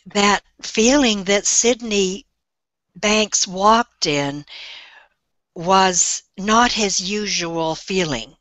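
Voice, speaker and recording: An older woman speaking on a webinar call as a guest, telling her story in a gentle, reflective way. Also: fairly low pitch, dry recording, poor audio. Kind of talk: slow, thoughtful storytelling.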